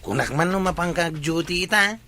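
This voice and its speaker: An actor plays a village captain's questioning sidekick in an Ilocano comedy. The voice is middling in pitch, bright and forceful, and plain-spoken, over faint ambience. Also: a little fast, slight background noise. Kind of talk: Ilocano comedy drama acting.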